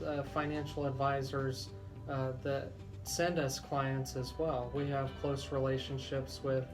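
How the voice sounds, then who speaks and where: A man hosting a legal talk radio show, explaining in a deliberate way as a lawyer. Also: mid-range voice. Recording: music bed under the voice. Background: music.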